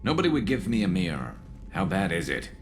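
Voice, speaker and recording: A man voicing a battle-scarred alien soldier in a video game, loyal and dryly wry, with a gravelly voice. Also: wildly swooping pitch, fairly quick, soft background music. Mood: wry, pained. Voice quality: gravelly, very deep. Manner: dry, wry, clipped. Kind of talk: video game dramatic acting.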